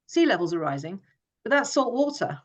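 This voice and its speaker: Woman raised in Hong Kong, forum speaker, earnest and halting, mid-pitched voice. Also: big pitch swings, highs cut off. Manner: earnest, many restarts and fillers. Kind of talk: informal presentation.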